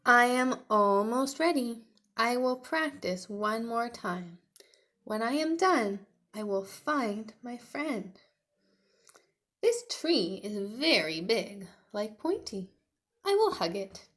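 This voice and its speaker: A tiny young T. rex boy from a storybook speaks up , played by a woman reading aloud. He is earnest and determined. His words come slowly and deliberately, with childlike emphasis. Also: a little high, very animated, fairly bright tone, full character voice.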